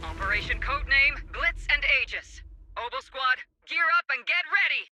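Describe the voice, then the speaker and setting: A man's voice: very high voice, expressive, moderate pace, pushed and bright, soft background music, heavily acted. A man playing a stern, resolute military commander in a game, speaking in a formal, commanding manner. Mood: rousing, commanding. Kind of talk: dramatic game mission briefing.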